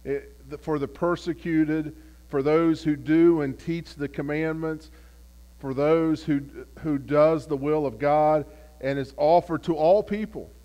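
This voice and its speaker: A man leading a Bible study as pastor, phlegmy and clearing his throat, halting with many repeated words and fillers. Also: on the high side, steady tone, dark tone, muffled recording. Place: church. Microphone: camera.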